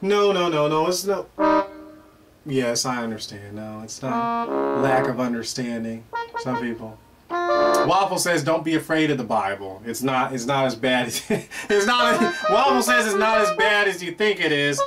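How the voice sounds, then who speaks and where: Excitable man hosting over loud guitar music. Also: medium pitch, moderate pace. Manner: playful and excitable, reacting aloud to an unseen guest with many "oh"s and laughs. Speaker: host of a question and answer show. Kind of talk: animated comedic Q&A chat. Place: indoor room before a pale curtain. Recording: distant mic with loud guitar music behind.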